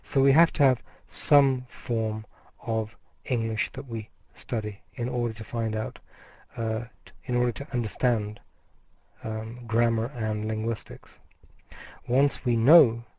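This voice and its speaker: A man's voice: medium pitch, level tone, measured pace, warm tone, no echo. A middle-aged man lecturing to university students, explaining step by step and sometimes restarting a word mid-phrase. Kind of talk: recorded course lecture.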